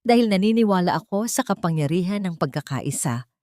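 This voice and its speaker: A woman's voice: lowish voice, lively intonation, brisk, darkish tone, dry recording, lightly acted. A woman voicing a pious, scheming elderly matriarch in an audiobook drama, silky and condescending. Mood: falsely sweet. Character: falsely sweet, pious, scheming rich old matriarch.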